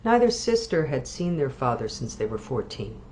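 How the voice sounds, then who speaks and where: A woman author reading literary fiction aloud, measured and expressive. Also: rumbling low, a little hiss or hum. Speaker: author reading from own novel.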